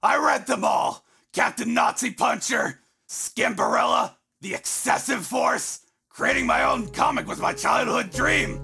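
A man playing a gruff, cantankerous old great-uncle and con man in a cartoon comic dub, blustering in a gravelly voice. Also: very high voice, very animated, measured pace, faint music behind, heavily acted. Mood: wistful, aggrieved. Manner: blustering, loud.

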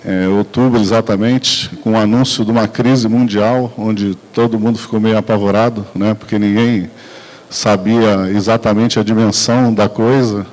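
A man with a Brazilian accent giving a relaxed, conversational sales presentation at a conference, into a handheld mic in a large room. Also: on the low side, normal speed. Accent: Brazilian Portuguese. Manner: relaxed, conversational, frequent tag questions and fillers. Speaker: sales talk speaker. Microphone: handheld mic, recorded by far camera. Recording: dull lo-fi camcorder audio.

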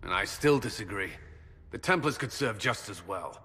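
A man's voice: fairly low pitch, expressive, moderate pace, sharp tone, long reverb tail, faint music behind. An actor playing an earnest male military commander who speaks firmly and directly.

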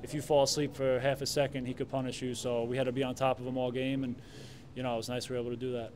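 A man in his thirties, a goalkeeper answering reporters' questions at a press conference in a relaxed way, with many fillers and restarts. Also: mid-range voice, very fast, a little hiss or hum. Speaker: soccer goalkeeper. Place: stadium press room.

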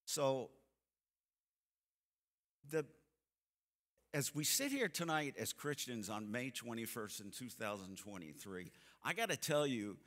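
Bright-voiced older man in a reverberant church. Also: medium pitch, unhurried, pauses often. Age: 70s. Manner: folksy, animated storyteller acting out quotes. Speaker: seminar speaker. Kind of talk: church seminar talk with storytelling. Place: church sanctuary stage, seated. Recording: long hall reverb from the sanctuary.

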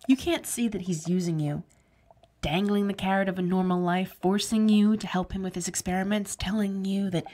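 A woman's voice: medium pitch, normal speed, mellow, close to an everyday voice. Bitter, theatrical woman played by an actress. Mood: scornful. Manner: cutting, sarcastic, theatrical delivery. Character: bitter, wounded woman kept alive as a severed head. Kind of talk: audio drama acting.

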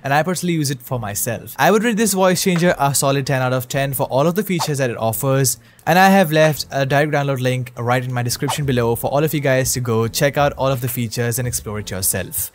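A man's voice: mid-range voice, fast talker, few pauses, a little hiss or hum. A man hosting a scripted tutorial and review as a YouTube narrator. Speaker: YouTube host. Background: faint music.

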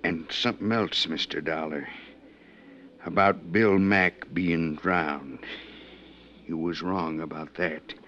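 Low-voiced, uneasy working sailor. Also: male voice, fairly even intonation, slow, long pauses, fairly bright tone, slight background noise. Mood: grave, confiding. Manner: folksy, ungrammatical working-man talk, hesitant. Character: uneasy, plain-spoken merchant sailor, loyal but fearful. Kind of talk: 1950s radio acting. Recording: dull, lo-fi 1950s radio recording.

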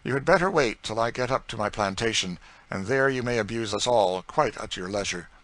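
A man's voice: fairly low pitch, expressive, a little fast, a bit bright, dry recording, voice pushed up, poor audio. A man voicing a polite, urbane middle-aged planter.

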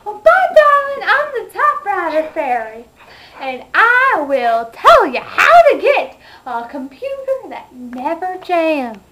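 A young woman playing a bubbly typewriter fairy making a sing-song sales pitch in an amateur mock infomercial. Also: big pitch swings, takes it slowly, sharp tone, slight background noise, heavily acted. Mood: bubbly, salesy. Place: small carpeted attic room, indoors. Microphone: handheld camera. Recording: echoey home room, camera mic.

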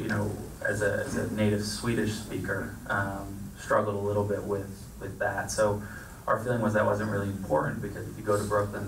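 Producer guy talking on stage, low and flat, roomy far-off sound with hiss. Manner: thoughtful, measured, frequent restarts. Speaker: film producer. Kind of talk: panel Q&A answers. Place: stage of a screening theater. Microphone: handheld mic. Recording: echoey theater, distant mic, noisy.

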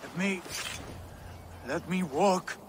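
An actor playing a dazed, wounded but defiant Viking lord in a video game, halting and strained. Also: male voice, high voice, noisy background. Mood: dazed defiance. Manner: halting, stumbling. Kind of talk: dramatic video game acting.

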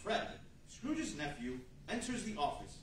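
A theatrical 1940s radio storyteller, acted by a man addresses the room with wry flair. His voice is high and animated. It is very echoey and far from the mic. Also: measured pace, a little hiss or hum, full character voice. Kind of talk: 1940s radio narration on stage. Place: large indoor meeting room with seated audience. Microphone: far camera.

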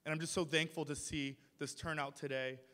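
A man's voice: fairly high pitch, flat delivery, brisk, pushed and bright. Passionate young man at a podium. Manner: passionate, rising to emphatic points. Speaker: city council member. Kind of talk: public speech at a podium. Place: large ornate hall, indoors. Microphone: podium mic. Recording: long hall reverb. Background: audience in the hall.

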